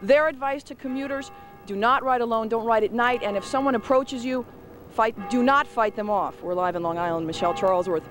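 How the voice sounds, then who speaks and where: A woman's voice: a little high, a little fast, soft background music. A woman giving a live television news report as a field reporter.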